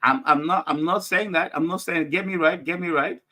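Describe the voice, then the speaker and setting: A man with a slightly high, bright and forceful voice co-hosts a podcast from home over a video call. He argues casually and insistently, often repeating a phrase to drive it home. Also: brisk. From Malawi. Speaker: podcast co-host. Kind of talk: casual debate. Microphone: video call with headphones.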